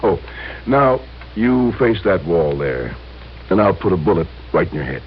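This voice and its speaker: A man's voice: deep voice, very animated, measured pace. A man acting a cold, gloating killer in an American old-time radio crime drama, menacing and mock-casual. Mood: gloating menace. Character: cold, gloating male killer holding people at gunpoint. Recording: muffled, slightly noisy old radio recording.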